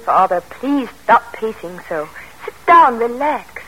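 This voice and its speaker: A woman's voice: on the high side, expressive, takes it slowly, bright, forceful tone, a little hiss or hum, exaggerated voice. An actress playing a spirited, devoted young woman in an old-time American radio drama, speaking earnestly and theatrically. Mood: anxious, pleading. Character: spirited, devoted young daughter of an ambitious colonel. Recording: vintage radio broadcast, thin telephone-like tone.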